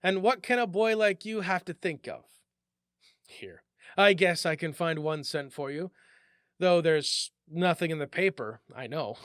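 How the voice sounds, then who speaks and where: A man's voice: measured pace, a bit bright, clean tone, no room sound, studio quality. A man voicing a thin, shabby old man in a storybook, shrewd but kindly, crotchety and wry. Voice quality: shrill, whistling through front teeth. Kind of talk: storybook reading.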